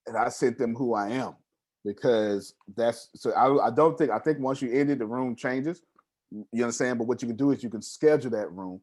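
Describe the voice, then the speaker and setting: A man's voice: medium pitch, moderate pace, a little mellow. A man leading an online group call as a coach, talking informally and emphatically in a motivational style, often checking that listeners follow. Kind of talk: animated motivational coaching talk.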